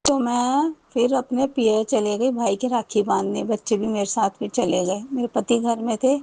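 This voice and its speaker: A woman's voice: fairly high pitch, steady tone, moderate pace, vocal fry, dark tone. A woman, telling a personal devotional testimony in a rambling way, with many restarts and repeated thanks.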